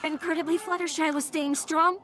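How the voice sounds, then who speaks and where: High-pitched synthetic woman storyteller. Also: fairly even intonation, brisk, a bit bright, a little hiss or hum. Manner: synthetic text-to-speech reading. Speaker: narrator. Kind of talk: scripted story narration.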